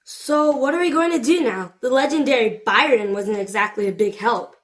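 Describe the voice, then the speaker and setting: A woman's voice: on the high side, moderate pace, close to an everyday voice. A woman playing a chatty, jokey young friend on a quest, casual and flippant, in a fantasy audio drama. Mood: upbeat, joking. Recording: faint music behind the voice.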